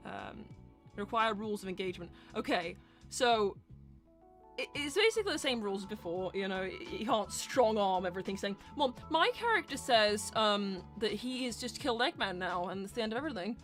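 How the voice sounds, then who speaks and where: A woman's voice: mid-range voice, expressive, brisk, fairly bright tone, faint music behind. A woman hosting a live roleplay stream, chatting casually and candidly as she answers questions in rambling explanations. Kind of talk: casual livestream Q&A chat.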